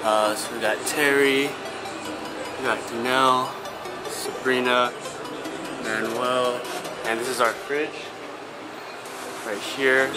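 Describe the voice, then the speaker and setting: A man's voice: medium pitch, fairly even intonation, slow pace, long pauses, rough recording. A young man, a meal prep business owner, casually narrating a vlog with loose, upbeat talk and many fillers. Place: commercial kitchen and gym. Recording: loud background music.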